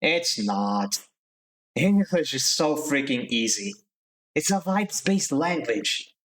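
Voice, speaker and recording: A man's voice: medium pitch, very animated, takes it slowly, fairly bright tone, rough recording. A young man from Slovakia, ranting casually as a streamer, swearing and restarting his sentences. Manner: heated ranting with profanity and restarts. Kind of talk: casual live-stream commentary.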